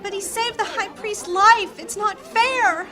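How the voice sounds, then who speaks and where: An anxious young female adventurer played by a woman pleads urgently in a fantasy radio play. The voice is extremely high and sharp. Faint crowd sounds sit behind it. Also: measured pace, heavily acted. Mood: upset, pleading. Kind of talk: audio drama acting.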